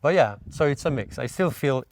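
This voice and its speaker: A man's voice: mid-range voice, expressive, a little fast, no room sound. A man in his forties from Sweden, a native Persian speaker, chatting casually as an interview guest and often repeating words as he thinks. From Sweden, born in Iran. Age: 40s. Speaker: podcast guest, dentist. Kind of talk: casual chat.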